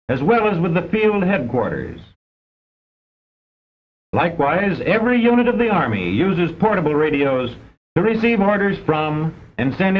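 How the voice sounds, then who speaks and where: A man with an American accent delivers formal scripted narration for a vintage instructional film, measured and didactic with precise enunciation. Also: on the high side. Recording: dull, band-limited old film soundtrack.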